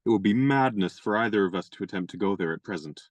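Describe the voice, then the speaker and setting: An actor playing a chivalrous, genial young gentleman adventurer in a radio play, speaking in courtly, elaborate and playfully pompous phrases. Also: fairly low pitch, expressive, fast, no room sound, close to an everyday voice.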